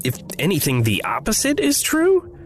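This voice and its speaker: Theatrical, sardonic man, wildly animated. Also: a little high, moderate pace, echoey room, long reverb tail, faint music behind. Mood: playful, sardonic. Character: theatrical, playful, grandly silly sardonic man. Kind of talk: audio drama acting.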